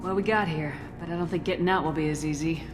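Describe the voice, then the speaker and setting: A woman's voice: sits low, fairly even intonation, fast, long reverb tail, close to an everyday voice. A woman playing a tough, no-nonsense military commander in a video game, direct and terse. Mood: businesslike. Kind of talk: video game cutscene acting. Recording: music behind the voice.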